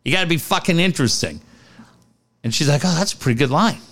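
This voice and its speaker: A middle-aged man co-hosting a casual podcast, telling profane, animated stories. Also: on the high side, fast. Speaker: podcast co-host.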